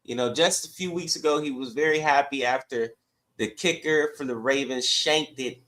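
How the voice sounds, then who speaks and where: A man's voice: medium pitch, moderate pace, a bit bright. A man with a mid-range voice talks sports as a podcast co-host. His delivery is casual and conversational, like talk between regulars on a show.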